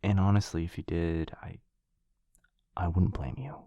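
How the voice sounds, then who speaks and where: A man playing a tender, devoted vampire lover in an intimate romantic ASMR roleplay, speaking softly and haltingly with a breathy, often whispered voice. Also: deep voice, dry recording, dull audio. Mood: tender confession. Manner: soft, halting, earnest delivery.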